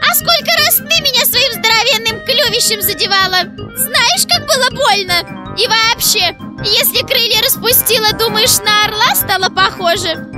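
A woman's voice: very high-pitched, lively intonation, normal speed, over music. A woman voicing a defensive, hot-tempered female monkey in a children's puppet show, whining and defiantly protesting.

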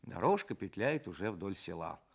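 A man telling stories and reciting children's poems in an expressive, theatrical way, as a writer on a programme for children. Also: low voice, moderate pace, vocal fry, husky. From Russia.

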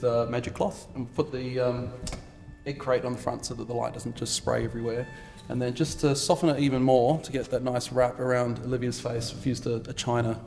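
A man, a cinematographer explaining his lighting on set in an unhurried, technical way. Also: mid-range voice, vocal fry, a little mellow, long reverb tail. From New Zealand. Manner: unhurried, technical, with frequent uh fillers. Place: large historic house interior.

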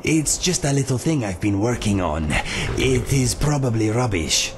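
An actor voicing a modest, self-doubting male adventurer companion in a video game, speaking softly. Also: medium pitch, big pitch swings, moderate pace, background noise, lightly acted. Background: game music.

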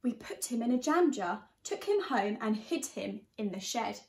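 The room rings noticeably around a young woman's fairly high voice. She reads a children's picture book aloud like a primary teacher, expressively and directed at young listeners. The microphone sits a short way off from her. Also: animated, moderate pace, darkish tone. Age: young adult. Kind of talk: storybook read-aloud. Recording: echoey room sound.

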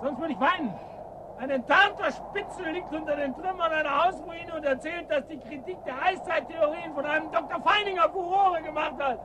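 A clear rumble of noise surrounds a gruff man in an icy wasteland. He is suspicious and mocking, with brusque, sardonic radio-drama delivery. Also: normal speed. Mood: bitterly mocking. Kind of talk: German radio play acting. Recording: loud rumbling noise over the voice. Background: loud rumbling ambience.

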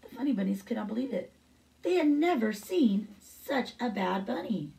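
A woman's voice: on the high side, expressive, normal speed, gravelly, mellow, distant mic, poor audio. A middle-aged woman reading a picture book aloud as a teacher, with brief asides.

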